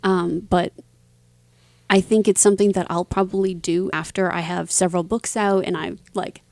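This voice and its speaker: A woman's voice: on the low side, level tone, moderate pace, gravelly, a little mellow, dry recording, studio quality. A woman speaking as an interview guest, telling her stories casually with a self-deprecating, lightly giggly manner. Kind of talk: casual conversational storytelling.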